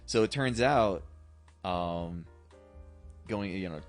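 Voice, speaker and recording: A man's voice: sits low, slow, faint music behind. A man co-hosting a podcast, explaining things casually and a little hesitantly, often repeating his words as he goes. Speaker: podcast co-host. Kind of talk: casual movie discussion.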